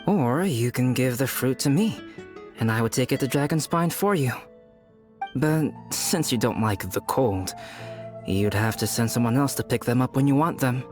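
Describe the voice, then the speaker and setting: Man playing a calm, measured young scholar. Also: mid-range voice, soft background music, lightly acted. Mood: mildly amused. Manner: measured, articulate, composed. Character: calm, polite young male scholar, gently teasing. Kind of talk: video game cutscene voice acting.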